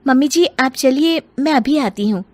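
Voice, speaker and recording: Woman acting a high-voiced, expressive young daughter-in-law. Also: normal speed, dry recording. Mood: polite. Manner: expressive, musing aloud. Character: sly young daughter-in-law, sweet-spoken, mischievous.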